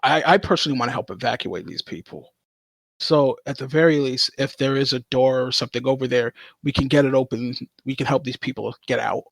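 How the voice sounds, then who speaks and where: A man's voice: mid-range voice, brisk, creaky, no room sound. A man playing a tabletop game, talking casually.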